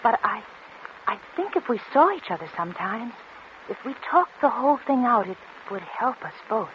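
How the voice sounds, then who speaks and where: An actress playing a fragile, desperate concert pianist in a breathless, insistent 1940s radio style. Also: female voice, a little high, very animated, moderate pace. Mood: earnest, hushed. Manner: breathless, insistent, repeating herself. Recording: muffled, noisy 1940s radio recording.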